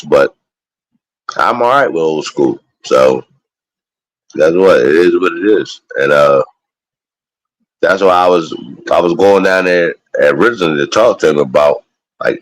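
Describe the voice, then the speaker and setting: A man's voice: low voice, no echo, poor audio. A man, chatting loosely and profanely as a guest on a live stream. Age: 40s. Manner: loose, profane street talk. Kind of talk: casual chat.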